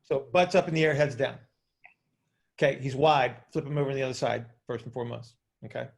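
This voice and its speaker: A man hosts a coaching call in an informal, hands-on way. His mid-pitched voice has a lot of creak and fry. He explains things conversationally and keeps checking that people follow. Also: fairly even intonation, a little fast. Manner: informal, hands-on, checks understanding with short tag questions. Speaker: host and coach. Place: group video call.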